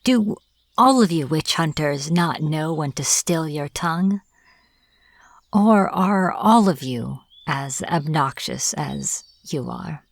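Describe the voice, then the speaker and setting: A woman playing a smug, teasing witch in an audio roleplay, taunting a captive in a playful, deliberate manner. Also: sits low, expressive, vocal fry, no echo, exaggerated voice. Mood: mocking. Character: smug teasing young-sounding witch, captor of a hunter. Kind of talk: audio roleplay, acted monologue to the listener.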